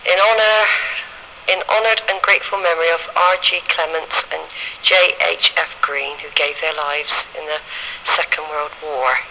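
A man making informal spoken field notes on an amateur cassette recorder, haltingly reading inscriptions aloud. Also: a little high, steady tone, sharp tone, a little hiss or hum, rough recording. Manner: halting, piecing words together as he reads. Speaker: amateur church and gravestone researcher. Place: in and around country churches and churchyards. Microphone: handheld cassette recorder.

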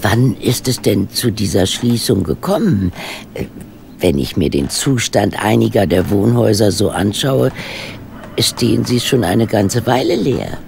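Nosy older lady sleuth, radio play, polite but wry, very gravelly, noisy background. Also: a bass voice, animated, a little slow, a little mellow, full character voice. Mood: polite, curious. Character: inquisitive older female amateur sleuth, polite and shrewd. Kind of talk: German radio play acting.

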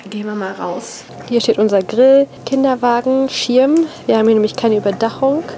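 A woman's voice: on the high side, moderate pace, mellow, a little hiss or hum. A young woman with a cold gives a casual tour of her family home, walking from room to room as she films herself on a handheld camera. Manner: chatty, walking and pointing things out. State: has a cold. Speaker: family vlogger. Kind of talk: casual house tour vlog. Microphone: handheld wide-angle action camera at arm's length.

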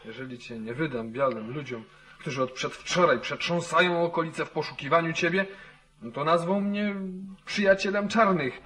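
A suspicious landowner in a vintage radio play. He speaks in a fairly high male voice, stern and probing, yet wavering. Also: normal speed, pushed and bright. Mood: torn, hesitant. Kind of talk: 1940s Polish radio drama acting.

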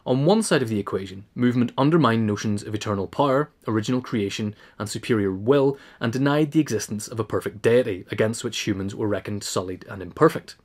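A man reads a written essay as an audiobook narrator. His voice is on the low side, and he keeps up a fast pace with formal delivery. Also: darkish tone. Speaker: audiobook reader.

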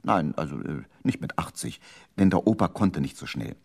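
A man's voice: extremely low pitch, very animated, moderate pace, creaky, a little mellow, slightly rough, heavily acted. A man narrating a children's radio play in a playful, dramatic storytelling manner.

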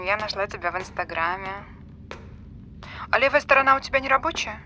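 A woman's voice: medium pitch, sharp tone, a little hiss or hum. A woman acting in an audio drama as a callous, flippant, fame-hungry character on a phone call, mocking and breezy.

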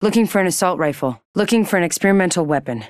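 A woman's voice: fairly low pitch, expressive, very fast, no room sound, studio quality, natural voice. An actress voicing a cool female combatant in a shooter game, giving terse callouts. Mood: businesslike.

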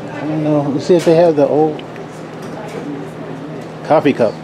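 A man's voice: a little high, steady tone, measured pace, a crowd in the background, poor audio. A middle-aged man vlogging casually, talking to himself in short asides as he walks around a diner with his phone. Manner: mumbled asides while walking around. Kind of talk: casual vlog commentary. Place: busy indoor diner with music playing. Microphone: phone held at arm's length. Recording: loud background music.

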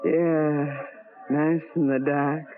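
A woman's voice: low voice, level tone, extremely slow. An actress playing a brassy, scheming carnival showgirl with slangy, streetwise talk in a radio drama. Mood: coy, flattering. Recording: tinny, hissy old radio recording.

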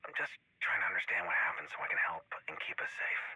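An anxious, suspicious agent in a video game. His voice has a very tense, bright edge and sits dry and close. He talks earnestly and fretfully, in naturalistic dialogue, as a devoted partner. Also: low voice, steady tone, fast talker, husky, dry recording, heavily acted, rough recording. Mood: worried, suspicious.

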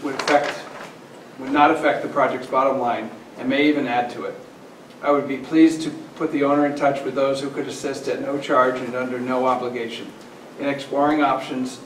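A man reading a formal letter aloud at a town meeting, stumbling and repeating phrases now and then. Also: medium pitch. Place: large indoor municipal meeting room. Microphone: far camera microphone. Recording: distant, echoey meeting room with background noise.

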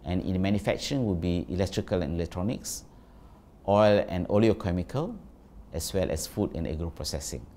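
A man giving formal, deliberate interview answers as an official, pausing with fillers. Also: low voice, dark tone.

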